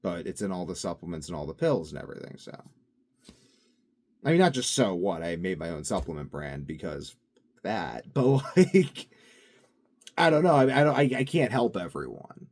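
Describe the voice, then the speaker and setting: A man's voice: sits low, sing-song, moderate pace. A young man in a casual daily video diary, rambling and upbeat with lots of fillers and restarts. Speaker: vlogger.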